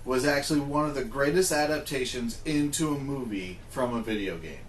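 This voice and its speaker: A man's voice: medium pitch, brisk, a little hiss or hum. A man hosting a casual discussion in an informal, opinionated way. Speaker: podcast host. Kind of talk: casual discussion of video game movies. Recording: roomy, echoing room sound.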